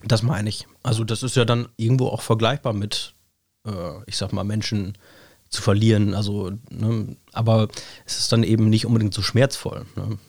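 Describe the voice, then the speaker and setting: A man, hoarse with a cold, musing in a relaxed chat and padding his talk with fillers and tag questions. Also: fairly low pitch, normal speed, no echo. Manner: thoughtful, rambling, many fillers and tag questions. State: hoarse with a cold, scratchy throat. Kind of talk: reflective casual chat.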